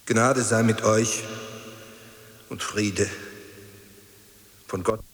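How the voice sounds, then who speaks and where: Solemn preacher, extremely slow, halting declamation, long pauses, echoing church reverb, radio drama sermon. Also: male voice, lowish voice, steady tone. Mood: solemn, faltering. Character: solemn male pastor preaching in an empty church. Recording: echoey hall with long reverb.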